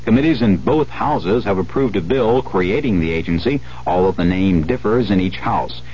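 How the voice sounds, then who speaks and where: A man with an American accent reads the news in a very low voice. His delivery is that of a scripted radio bulletin. The recording is muffled, with a faint hiss. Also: animated, moderate pace, darkish tone.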